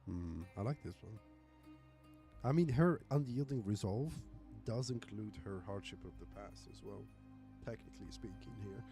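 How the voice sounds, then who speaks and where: A man's voice: lowish voice, normal speed, music in the background. A man streaming a game, giving casual running commentary.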